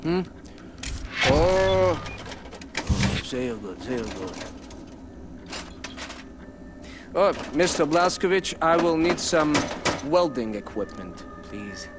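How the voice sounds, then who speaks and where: An actor playing an elderly, gentle, absent-minded scholar-inventor in a video game, with a halting, earnest manner. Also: male voice, on the high side, sing-song, background noise. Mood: mild, distracted. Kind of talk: video game cutscene acting. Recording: music behind.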